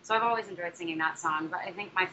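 A woman's voice: lowish voice, quick pace. A young adult woman, a musical theatre actress, answering interview questions in a chatty way, with many fillers and restarts. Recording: dull muffled recording with faint noise.

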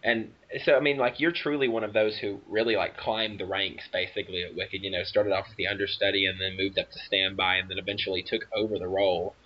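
A man's voice: low voice, level tone, fast, few pauses. A man interviewing a guest for a fan website, asking hesitant, rambling questions full of fillers. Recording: dull muffled recording.

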